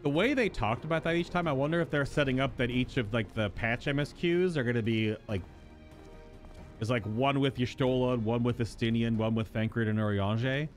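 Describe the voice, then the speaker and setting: Casting: male game streamer, mid-pitched, chatty and speculative, casual live reactions with music underneath. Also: moderate pace. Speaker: streamer reacting to and reading a game's story quests aloud. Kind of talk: casual live-stream commentary mixed with reading dialogue aloud.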